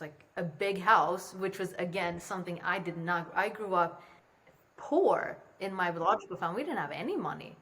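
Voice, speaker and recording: A woman's voice: on the low side, fairly bright tone. A young woman who grew up in Sweden, a podcast guest talking casually and candidly, swearing freely, calling in remotely. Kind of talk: casual interview conversation. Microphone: remote call from home.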